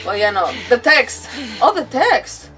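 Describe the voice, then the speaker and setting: A woman with a very high voice chats casually as she streams a game. She rambles, restarts her thoughts and giggles easily. Music plays behind her, and the voice sits a little away from the mic. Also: very animated, a little slow, sharp tone, far from the mic. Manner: rambling, self-interrupting, giggly. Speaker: livestreamer, gamer host. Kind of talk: casual livestream chat.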